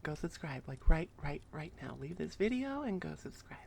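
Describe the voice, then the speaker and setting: A woman's voice: deep voice, very animated, moderate pace, creaky, darkish tone, a little hiss or hum. A woman creator chatting casually and effusively to her viewers, close on the microphone. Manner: effusive, enthusiastic. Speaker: ASMR creator. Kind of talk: casual thank-you chat to viewers. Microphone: close microphone on an arm.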